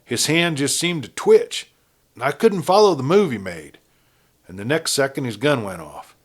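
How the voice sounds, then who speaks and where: A man's voice: medium pitch, big pitch swings, normal speed, voice pushed up. A man voicing a ruthless, blunt outlaw gang leader with a folksy cowboy drawl in a Western story. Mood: uneasy, menacing.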